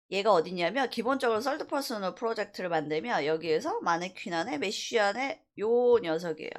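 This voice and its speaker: Casting: female instructor, mid-pitched and animated, informal software walkthrough, explanatory delivery that leans on key points. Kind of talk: software tutorial lecture.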